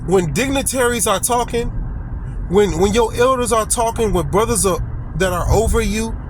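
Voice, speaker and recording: A man's voice: high voice, moderate pace, poor audio. A middle-aged man preaching an informal, blunt sermon outdoors, exhorting listeners and tagging phrases with "man" and "okay.". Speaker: street preacher. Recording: outdoor street noise.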